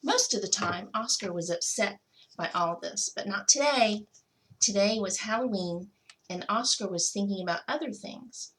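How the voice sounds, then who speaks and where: Bright-voiced woman reading a picture book. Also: mid-range voice, moderate pace, slight background noise, low-quality recording. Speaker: school technology coordinator reading to children. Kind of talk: expressive children's picture-book read-aloud.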